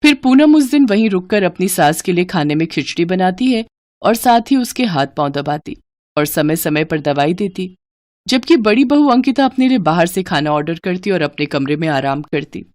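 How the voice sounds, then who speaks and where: Lively woman storyteller, dry recording. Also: fairly low pitch, normal speed, no echo. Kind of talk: scripted children's story narration.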